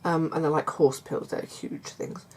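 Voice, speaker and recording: A middle-aged woman, chatting casually to her viewers in a croaky voice, hesitant with many fillers and restarts. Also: low-pitched, steady tone, measured pace. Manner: warm confiding tone toward viewers. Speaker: vlogger. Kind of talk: casual chat to camera.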